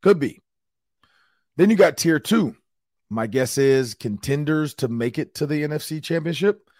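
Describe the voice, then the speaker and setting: A middle-aged man hosting a sports podcast, talking casually in a rambling way, his voice a little raspy from shouting. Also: fairly high pitch, lively intonation, a little slow, no room sound, studio quality. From Minnesota, US. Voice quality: slightly raspy. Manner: chatty, rambling with fillers and restarts. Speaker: podcast host.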